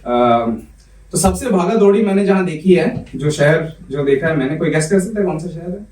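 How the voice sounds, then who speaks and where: A man's voice: fairly high pitch, expressive, darkish tone. Young male poet reciting in a roomy club. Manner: measured recital of verse, chatty fast banter between poems. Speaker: poet and comedian. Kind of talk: poetry recital with stand-up banter. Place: comedy club stage. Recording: roomy club sound from a distance.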